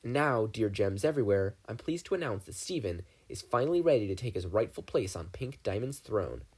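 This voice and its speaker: A man's voice: on the low side, animated, fairly quick, darkish tone, slightly rough. A man voicing a grand, cold alien female ruler in an audiobook reading, announcing in a stately way. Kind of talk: fan fiction audiobook reading.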